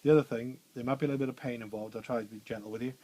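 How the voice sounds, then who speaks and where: A man with a bassy voice, chatting informally through a radio studio training session with frequent fillers and restarts. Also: fairly even intonation, rapid-fire, dark tone. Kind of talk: hands-on technical demonstration.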